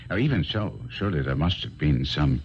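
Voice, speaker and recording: A man acting in a 1970s radio drama, playing a shrewd, courteous gentleman detective, inquisitive, with murmured interjections. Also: a bass voice, animated, brisk. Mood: attentive, probing. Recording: dull noisy old radio recording.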